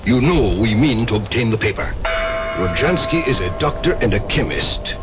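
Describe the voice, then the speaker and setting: A man's voice: on the low side, expressive, normal speed, muffled recording, very noisy, lightly acted. An actor playing a cold, menacing male Russian secret police interrogator in a 1970s radio drama, clipped and threatening.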